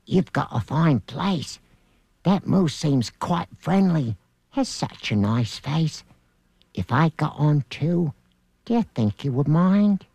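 A man's voice: a little high, expressive, slow pace, creaky, a little mellow, breathy edge, dry recording, heavily acted. A man reading a storybook and voicing a polite little male spider with genteel manners.

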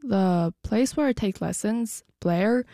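A teenage girl being interviewed about her music, soft-spoken and giggly, with many "like" fillers. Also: mid-range voice, slow, no echo, clean studio sound. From United States. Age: teens. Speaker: guest musician. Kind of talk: casual interview.

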